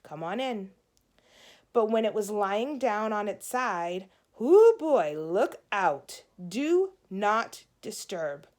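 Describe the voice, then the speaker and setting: A woman's voice: medium pitch, very animated, slow, a little mellow. A woman voicing a moody, bossy young big sister in a children's storybook, with an emphatic, snappy delivery. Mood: explaining, emphatic. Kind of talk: children's storybook read-aloud.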